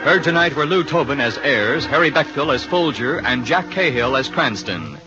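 A man's voice: mid-range voice, animated, moderate pace. A man announcing scripted credits in the style of 1950s radio. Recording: muffled 1950 radio broadcast recording. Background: organ music.

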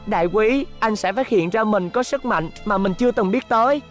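A woman's voice: medium pitch, moderate pace, faint music behind, lightly acted. A woman voicing a brave, earnest little robot boy in a dubbed cartoon, urgent and encouraging.

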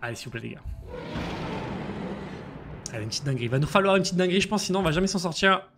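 A man, live-streaming slot games and chatting excitedly with viewers over a headset in a small room. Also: high-pitched, very fast, music in the background. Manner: excitable, slangy, chats with viewers. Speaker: casino streamer.

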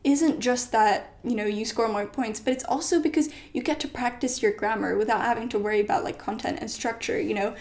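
A woman's voice: a little high, a little fast, few pauses, darkish tone. A young woman in her late teens, a native Polish speaker, giving casual study advice in a chatty way full of "like" and "you know", filmed in her bedroom. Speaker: study vlogger. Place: bedroom at home.

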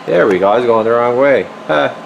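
A man's voice: mid-range voice, fairly quick, creaky, highs cut off. A man giving a casual hands-on demonstration at a workbench, informally muttering to himself as he works. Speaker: radio repair technician, video host. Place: indoor radio workshop at an electronics bench. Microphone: handheld camera, behind the lens. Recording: noisy room with steady equipment hum.

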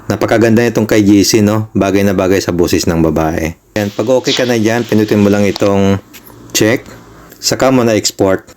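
A man's voice: on the low side, normal speed, a little mellow, a little hiss or hum. A man with a Filipino accent hosting a casual step-by-step tutorial in a polite, conversational, instructive manner. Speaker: tutorial host.

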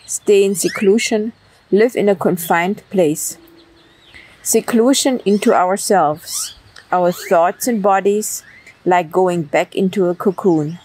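A woman poet reciting her own work in a measured way, pausing between short lines. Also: mid-range voice, a little mellow, a little hiss or hum, rough recording. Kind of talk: poetry recitation.

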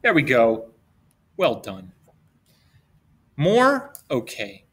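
A middle-aged man reading a picture book aloud to young children in a playful, expressive way, drawing out words and posing simple questions. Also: mid-range voice, very slow, husky. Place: indoors at a desk, webcam with book camera.

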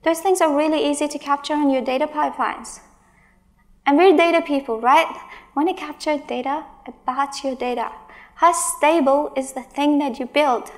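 High-pitched woman giving a tech talk. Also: steady tone, normal speed. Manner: emphatic, exasperated asides. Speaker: conference speaker. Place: large stage in a hall.

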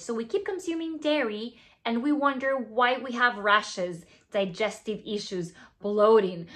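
A woman in her thirties from Venezuela with a Venezuelan Spanish accent, Spanish her first language, talking playfully to camera in a plain studio as a skincare founder. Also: a little high. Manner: playful, animated, drops to asides and whispers. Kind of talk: scripted talk to camera. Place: plain studio backdrop, indoors.

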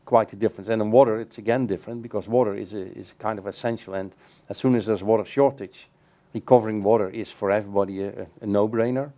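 A middle-aged man with a low, mellow voice explains his views in a measured way, often restarting his sentences. He speaks from a table in a bright room by large windows during a panel discussion. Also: fairly even intonation. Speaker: keynote speaker. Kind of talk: answering questions in a panel discussion.

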